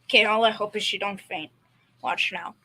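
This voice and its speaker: A woman's voice: medium pitch, normal speed, sharp tone, husky, rough recording. A young female performer playing a bumbling, confidently clueless working-class dad in a school old-time radio comedy, with folksy bad grammar. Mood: sly, pleased. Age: young teen.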